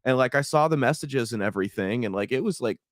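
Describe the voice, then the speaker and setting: A man's voice: mid-range voice, expressive, no echo, clean studio sound. A young man, a stand-up comic guest on a casual podcast, speaking bluntly with lots of swearing. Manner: blunt and profane, talks fast ahead of his thoughts.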